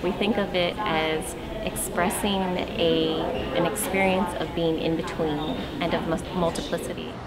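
A woman in her thirties speaking reflectively in measured phrases with pauses, as in an interview or poetry reading. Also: fairly low pitch, fairly even intonation, over a noisy crowd. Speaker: choreographer, dancer and poem reader.

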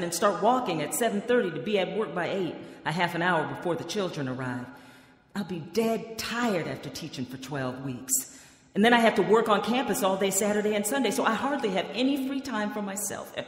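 A woman's voice: medium pitch, husky. An actress playing an earnest, determined young woman who recounts her student years in a radio drama. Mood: strained, pleading. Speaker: narrator and lead actor. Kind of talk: radio drama acting and first-person narration. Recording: reverberant radio drama with music.

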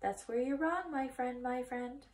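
Lady doing an excited bossy goose from a kids book, high voice, repeats herself, kinda echoey. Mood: insistent. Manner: repeats phrases, talks fast and insistently.

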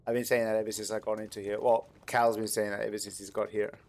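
A man's voice: lowish voice, level tone, quick pace. A man giving casual, playful gameplay commentary as he talks to himself while hosting a gaming video.

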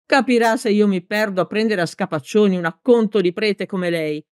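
A woman's voice: mid-range voice, animated, moderate pace, no echo, clean studio sound, pitched above the performer's own voice, lightly acted. A woman voicing a big, hot-tempered male village mayor in a storybook, blustering and clumsily formal with emphatic delivery. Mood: impatient.

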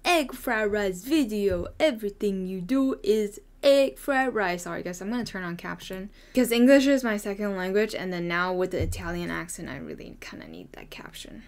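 A woman's voice: medium pitch, lively intonation, moderate pace, few pauses. A young woman vlogging from her bedroom, chatty and bubbly, gushing as she reacts to clips. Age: young adult. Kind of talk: casual reaction commentary. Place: bedroom, indoors.

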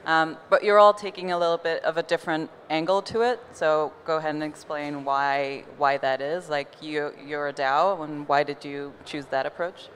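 A woman's voice: deep voice, steady tone, normal speed, hardly any pauses, fairly bright tone, a little hiss or hum. Belgian woman with an American accent moderating. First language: not English. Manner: casual, loose, with frequent fillers. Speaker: panel moderator. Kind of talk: moderating a conference panel. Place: large conference hall stage. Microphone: handheld mic.

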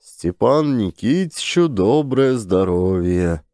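A sly wandering miner, played by a male narrator, speaks slowly and flatteringly in a low, mellow voice. The sound is close and dry. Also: dry recording. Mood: wheedling. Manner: slow, ingratiating. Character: male gold-mine worker, sly and wheedling.

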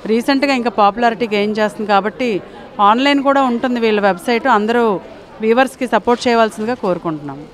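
A woman's voice: a little high, quick pace. A middle-aged woman hosting an expo, introducing stalls and explaining products on a handheld mic in a large exhibition hall. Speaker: host. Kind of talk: on-the-spot expo interviews. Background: crowd chatter.

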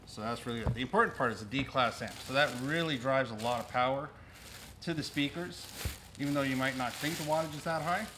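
A man's voice: mid-range voice, a little fast. Middle-aged man demonstrating gear, noisy recording. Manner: plain-spoken, explanatory, talking while handling gear. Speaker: store presenter demonstrating audio gear. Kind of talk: casual unboxing and product demonstration. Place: indoors in a cluttered audio equipment store. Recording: noisy room recording with clicks.

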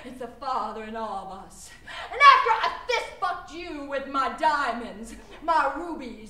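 Wailing high-voiced woman, far and roomy. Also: big pitch swings, measured pace, sharp tone. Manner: wailing, laughing and sobbing, lurching between shrieks and low moans. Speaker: performance artist. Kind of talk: confrontational spoken-word performance. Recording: roomy sound from far off the mic.

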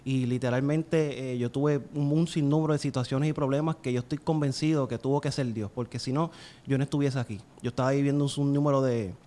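A man's voice: medium pitch, steady tone, brisk, dark tone. A man in his thirties with a Puerto Rican accent tells his personal story as an interview guest, earnestly and fluently, close to a studio microphone. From Puerto Rico. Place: small indoor studio. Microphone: close studio microphone on a boom arm.

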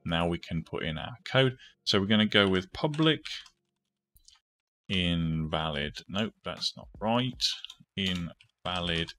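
A man's voice: deep voice, expressive, slow pace, fairly bright tone, a little hiss or hum. A man hosting a step-by-step coding tutorial, informally thinking aloud as he goes. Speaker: tutorial host.

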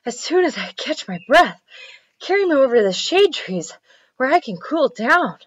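A woman's voice: a little high, sing-song, normal speed, dry recording, lo-fi sound, voice pushed up. A woman voicing a clever female turtle in a children's story, coaxing and reassuring as she talks. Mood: winded, pleased. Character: clever female turtle, sweet-talking, calm trickster.

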